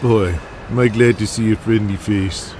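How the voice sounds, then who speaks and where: A man's voice: on the low side, steady tone, moderate pace, a little hiss or hum, close to an everyday voice. A man voicing a friendly champion wrestler in an audio drama, hearty and chummy. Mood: relieved, friendly. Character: friendly, eager male champion wrestler greeting an old pal. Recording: loud music under the voice.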